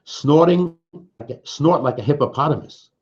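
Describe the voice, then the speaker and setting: A man's voice: a little high, measured pace, a little mellow, breathy edge. A man reading a picture book aloud to young children, with sing-song refrains, simple explanations and questions to the listeners. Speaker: children's story reader. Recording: dull, muffled lo-fi sound.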